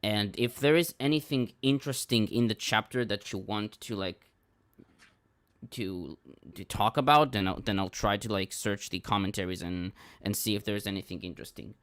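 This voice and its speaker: A man from Israel with Hebrew as his first language speaks haltingly, restarting his sentences. His voice is low and smooth, and it is dry and close with no room sound. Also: fairly bright tone, no echo. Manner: hesitant, stumbling, repeats himself. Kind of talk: casual panel discussion.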